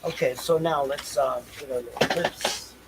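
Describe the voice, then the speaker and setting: A man's voice: medium pitch, fairly even intonation, normal speed, very noisy. A man hosting a livestream in rambling, unscripted talk, loosely talking things through to himself and repeating phrases. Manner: loose, talks to himself while setting up. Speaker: livestream host.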